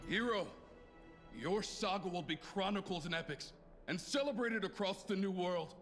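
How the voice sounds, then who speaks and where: A solemn, hopeful townsman declaims formally in a fantasy game. His voice is high for a man and echoes in long reverb over music. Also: normal speed, a bit bright. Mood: admiring. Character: solemn, hopeful admiring citizen of a fantasy world. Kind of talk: video game voice acting.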